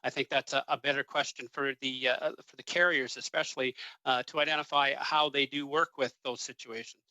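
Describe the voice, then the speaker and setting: A man testifies as an industry representative in a measured, slightly hesitant way. His voice is very bright and tense, with flat intonation and a dry sound. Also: mid-range voice, no room sound. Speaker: airport association representative. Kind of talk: committee testimony.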